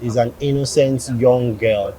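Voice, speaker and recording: A man with a Nigerian accent giving interview answers as a producer, explaining in a measured way and rephrasing as he goes. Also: mid-range voice, dark tone, poor audio. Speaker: animation producer.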